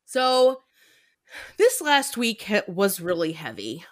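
A woman's voice: medium pitch, huge pitch swings, slow pace, no echo, clean studio sound. A woman podcast host chatting casually and self-deprecatingly, often laughing. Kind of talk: casual chat.

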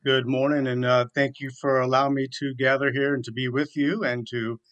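A man in his forties speaks at a medium pitch as a guest in an interview. He tells his story earnestly and in detail, often circling back to restate a point. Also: little pitch movement, fairly quick, a bit bright, highs cut off. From southeastern Virginia, USA. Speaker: military chaplain, radio guest.

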